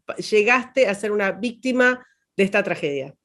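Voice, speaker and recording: A woman's voice: medium pitch, lively intonation, normal speed, a bit bright. A woman hosting an interview, asking questions and often stumbling over the first words of her sentences. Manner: stammers on starts, uses voseo. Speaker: interview host. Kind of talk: interviewing a guest.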